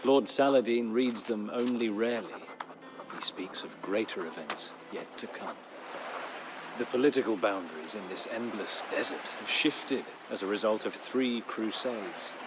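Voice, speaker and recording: An actor playing a weary captive Norman knight who chronicles his captivity in solemn, measured storybook narration for a strategy game. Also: on the low side, close to an everyday voice, poor audio. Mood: weary, somber. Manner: solemn, measured, literary delivery with dramatic pauses. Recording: studio narration over clear background music.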